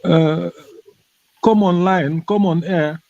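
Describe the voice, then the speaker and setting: A man giving impassioned political commentary on a call, forceful and scornful toward religious leaders. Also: high-pitched, steady tone, takes it slowly, vocal fry, dry recording. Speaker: panelist. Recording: muffled lo-fi call audio.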